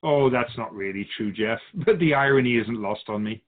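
A man playing an otherworldly, wise spiritual guide in a radio drama, measured and instructive with gentle amusement. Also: mid-range voice, close to an everyday voice. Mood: serene, knowing. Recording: slightly muffled, phone-like narrow sound.

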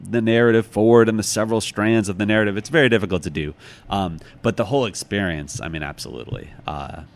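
A man with an American accent and a low-pitched voice talks in a reflective, conversational way. He sounds like an author in an interview, thoughtful and often searching for the right phrase. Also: fast. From United States, Chicago.

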